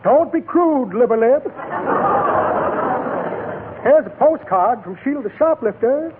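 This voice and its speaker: A man's voice: very high voice, animated, a little slow, over a noisy crowd. An actor playing a shady, wisecracking old man with blustery, theatrical delivery in 1940s radio comedy. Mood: pompous bluster. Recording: tinny noisy 1940s radio, audience behind.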